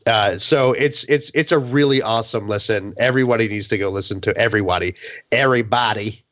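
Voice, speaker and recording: A man chatting casually and keenly as a podcast panelist, halting and restating his phrases, on a slightly dull microphone. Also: lowish voice, fairly bright tone, no echo. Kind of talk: casual enthusiastic chat.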